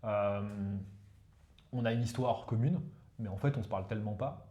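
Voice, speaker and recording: A man's voice: fairly low pitch, moderate pace, warm tone, muffled recording. A man from India, a Hindi speaker, explaining things as a guest expert in a casual interview and tagging his sentences with "you see". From India, Ganges plain. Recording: roomy echo.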